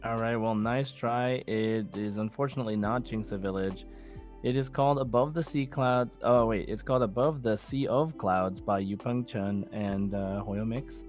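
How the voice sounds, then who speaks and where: A man's voice: on the low side, moderate pace, a little mellow, faint music behind. A man hosting a casual podcast quiz, talking in an encouraging, conversational way. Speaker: podcast host and quizmaster. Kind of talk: casual quiz show chat.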